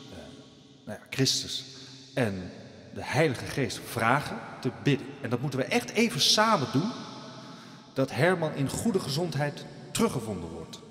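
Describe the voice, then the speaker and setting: A man's voice: mid-range voice, fairly bright tone. Man playing an earnest village pastor in echoing church. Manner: solemn, halting, deliberate preaching. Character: earnest village pastor addressing his congregation, eighteenth century. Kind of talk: radio drama sermon. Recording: echoey church hall reverb.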